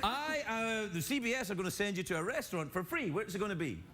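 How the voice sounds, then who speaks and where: A man's voice: high voice, very animated, fast talker, noisy background. A Scottish man hosting a late-night talk show, teasing and joking with audience members in a playful way. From Scotland. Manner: playful, teasing, laughing often. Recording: studio audience and band music behind.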